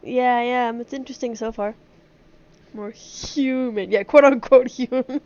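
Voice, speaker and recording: A woman's voice: fairly high pitch, steady tone, measured pace, darkish tone. A woman live streamer chatting casually over a game, giggly and rambling. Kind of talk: casual livestream chat while gaming. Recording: dull lo-fi stream microphone.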